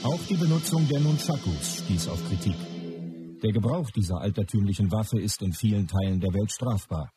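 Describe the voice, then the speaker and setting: An actor playing a sober documentary narrator in a radio play, delivering scripted facts plainly. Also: male voice, fairly low pitch, animated, moderate pace, natural voice. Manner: sober, factual. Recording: faint music underneath.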